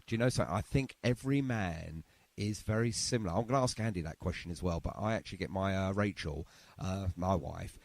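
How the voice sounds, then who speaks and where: A man's voice: sits low, brisk, creaky, no echo. A man hosting a casual radio interview in a chatty, effusive way, often repeating his phrases. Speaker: radio show host.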